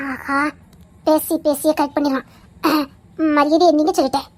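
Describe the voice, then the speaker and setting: A fiery young sweetheart, acted by a woman, scolding and threatening her lover but laughing through it. She speaks in an extremely high, piping voice in a lively amateur drama. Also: fairly quick. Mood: flustered.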